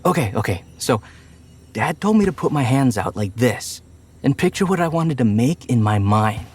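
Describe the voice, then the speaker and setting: A husky, soft-spoken young male animal played by a man at a middle pitch. He is a sweet grown son in a motion comic, earnest and gently hesitant when he talks. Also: very animated, moderate pace. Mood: earnest, fond.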